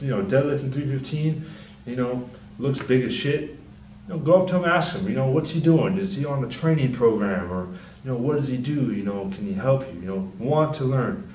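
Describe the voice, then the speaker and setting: A young man, a personal trainer, giving casual, rambling fitness advice in a deep, soft-spoken, mumbling voice, swearing freely. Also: roomy sound, recorded from a distance, slight background noise, rough recording. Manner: laid-back, rambling, frequent swearing and fillers. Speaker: personal trainer and channel host.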